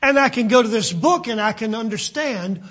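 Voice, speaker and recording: An older male preacher with a West Virginian accent and a high, husky voice. He talks haltingly, stopping often to search for words. His delivery is unhurried and sincere. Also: animated, a bit bright. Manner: halting, many fillers and restarts. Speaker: pastor. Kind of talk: sermon.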